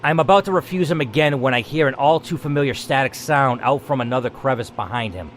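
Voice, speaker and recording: A man's voice: medium pitch, fast, slight background noise. A man reading a first-person game story aloud as the player, in a dramatic narrating style.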